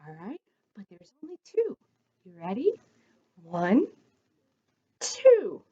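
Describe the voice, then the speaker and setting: Casting: American woman as children's storytime reader, very slow and encouraging, widely swooping pitch, dull lo-fi sound. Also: huge pitch swings, dark tone, husky, no room sound. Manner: sing-song, encouraging, addresses children directly. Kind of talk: children's read-aloud and counting rhymes. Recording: dull lo-fi audio.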